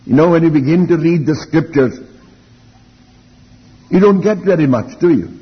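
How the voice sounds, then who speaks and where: Elderly preacher, muffled in a reverberant hall. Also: male voice, fairly high pitch, expressive, breathy edge. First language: Welsh. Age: older, elderly. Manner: deliberate, reflective, with rhetorical questions and repeated phrases. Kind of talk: Bible teaching sermon.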